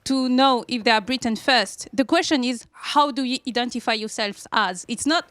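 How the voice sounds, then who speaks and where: A woman from France, an audience member in a studio discussion, explaining her view in careful, measured steps. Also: a little high, a bit bright, no room sound.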